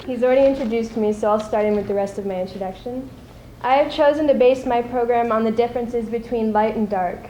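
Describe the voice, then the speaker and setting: A high-pitched teenage girl delivers a prepared speech in a formal, rehearsed way. The room echoes and there is faint noise. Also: fast talker, dark tone. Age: teens. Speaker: student speech performer. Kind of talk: prepared competition speech introduction. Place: echoey indoor room before an audience.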